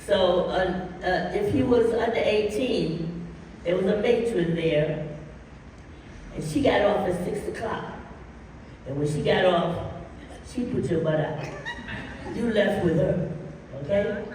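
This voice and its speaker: A woman's voice: medium pitch, slow pace, pauses often. Older woman telling stories in an echoey hall. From Harlem, New York. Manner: lively, animated storyteller, often laughing. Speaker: guest dancer. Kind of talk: storytelling on a panel. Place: large hall at a dance camp. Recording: echoey hall, distant mic, faint noise.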